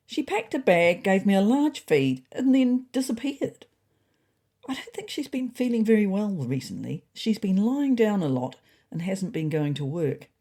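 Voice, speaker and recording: A woman voicing an anxious, earnest pet cat reading its diary in a measured, wistful storytelling manner. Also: medium pitch, warm tone. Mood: wistful worry. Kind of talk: first-person diary narration.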